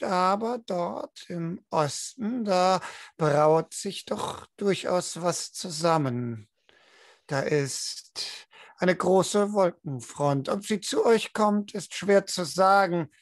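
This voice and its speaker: A man's voice: high-pitched, creaky, no room sound. A young man narrating a tabletop roleplaying game as game master over a video call. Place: home room, online video call.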